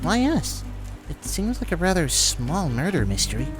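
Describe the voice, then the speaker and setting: A man voicing a brisk, sensible male adventurer who leads his companions in a fantasy audio drama, in a businesslike manner. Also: on the high side, big pitch swings, music in the background, voice pushed up. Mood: inquiring. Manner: questioning, businesslike.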